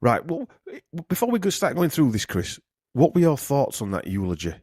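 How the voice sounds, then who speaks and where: A man's voice: medium pitch, sing-song, normal speed, a little mellow, husky. A man hosting a podcast, talking in an emphatic, opinionated way during a casual conversation. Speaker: podcast host. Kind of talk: casual conspiracy chat.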